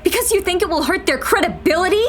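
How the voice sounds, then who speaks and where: Radio drama: insistent, blunt female rebel, played by a woman, lively swings in pitch, slight background noise. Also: quick pace. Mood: exasperated. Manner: insistent, pointed, sarcastic. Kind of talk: sci-fi radio drama acting.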